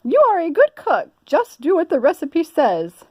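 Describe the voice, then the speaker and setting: High shrill bossy mistress of the house, storybook character, really exaggerated, dry sound. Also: female voice, huge pitch swings, normal speed, a little mellow, dry recording. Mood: bossy, instructing. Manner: calling out, exaggerated, instructing.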